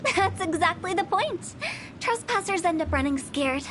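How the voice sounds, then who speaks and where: Cheeky high-voiced young woman. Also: expressive, normal speed, very noisy. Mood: teasing. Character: playful, cheeky young woman funeral director guiding travelers. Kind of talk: video game character acting. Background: loud game music.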